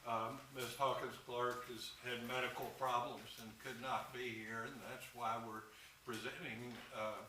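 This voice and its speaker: Flat-voiced judge in echoey court. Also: male voice, mid-range voice, a little slow. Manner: folksy, even-toned. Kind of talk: addressing a jury. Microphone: far room mic. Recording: echoey courtroom, distant mic, noise.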